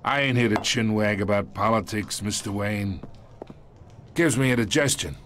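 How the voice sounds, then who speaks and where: An actor playing a smug, menacing middle-aged mob boss in a video game, with a slangy, swaggering, taunting delivery. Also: male voice, fairly low pitch, normal speed, background noise, lightly acted. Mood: quietly threatening.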